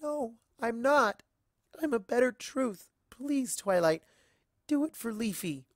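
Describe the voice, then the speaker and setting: A man's voice: extremely high pitch, expressive, takes it slowly, no echo, studio quality, voice pushed up, full character voice. A man voicing a tender, clinging female pony lover who pleads in a dramatic story reading. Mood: tearful pleading. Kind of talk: dramatic fan fiction reading.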